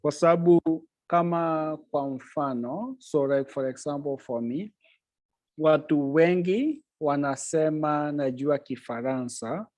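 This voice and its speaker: A man of ordinary pitch teaches Swahili on a video call, saying each point in Swahili and then again in English. He is patient, talks slowly, and laughs easily. His voice is dry and close, with no room sound behind it. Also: mellow, no room sound.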